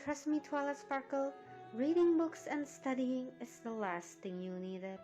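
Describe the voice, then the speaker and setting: A woman's voice: high-pitched, steady tone, measured pace, mellow. A woman voicing a friendly, modest young adult female pony. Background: background music.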